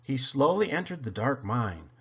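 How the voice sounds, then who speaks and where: A man reading a children's story aloud in an expressive, dramatic, deliberate way. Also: medium pitch, breathy edge, lo-fi sound. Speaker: storybook reader.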